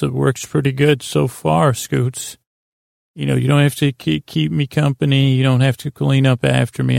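A man with a creaky, gravelly voice hosting a bedtime podcast, rambling in a halting, meandering way with stammered tangents and pauses to lull listeners to sleep. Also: mid-range voice, a little mellow, dry recording, clean studio sound.

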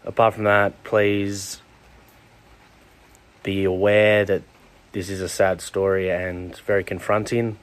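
A man hosting a podcast, soft-spoken and gentle in a casual interview. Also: low voice, little pitch movement, slow pace, pauses often. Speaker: podcast host.

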